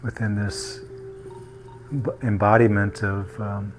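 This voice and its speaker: Guy leading a guided meditation, very flat steady tone, gentle n slow w long pauses, faint music behind. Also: fairly low pitch. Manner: gentle, unhurried, with long pauses between short phrases.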